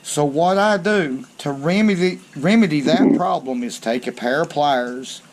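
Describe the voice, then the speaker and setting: A man, hosting a fishing channel and showing tackle at a table in a homey, folksy way, saying "okay" often. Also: a little high. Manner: slow, folksy, down-home talk with frequent "okay". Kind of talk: hands-on tackle demonstration. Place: covered outdoor porch or garage on a rainy day.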